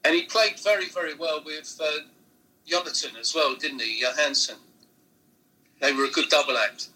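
A man's voice: a little high, normal speed, bright, forceful tone, poor audio. A man hosting an interview, prompting his guest with leading questions. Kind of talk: podcast interview.

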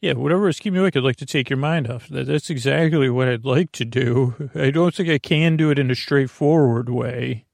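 Man, creaky gravelly voice, dry close sound, halting absent-minded bedtime rambling, self-deprecating podcast host. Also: medium pitch, a little mellow, no echo. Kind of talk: rambling, meandering bedtime monologue.

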